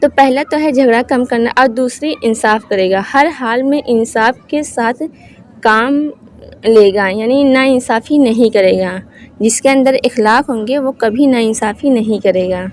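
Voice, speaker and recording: A woman host giving an informal religious talk to viewers, explaining haltingly and correcting herself as she goes. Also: a little high, slight background noise. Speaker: host of Islamic advice channel.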